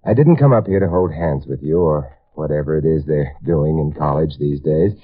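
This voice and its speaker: Smooth wry private sleuth from 50s radio drama, deep voice, tinny old recording. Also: male voice, moderate pace. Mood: earnest, pressing. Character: suave, wry, world-weary male amateur detective. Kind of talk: 1950s radio drama acting. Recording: tinny band-limited vintage radio recording.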